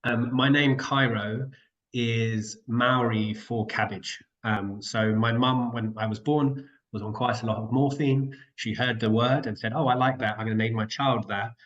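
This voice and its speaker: A man's voice: mid-range voice, fairly even intonation, unhurried, fairly bright tone, highs cut off. A man with a cold and a nasal voice, chatting casually as a guest on an online panel, rambling and apologising as he goes. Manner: rambling, self-interrupting, apologetic. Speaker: guest carer and co-founder. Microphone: video call.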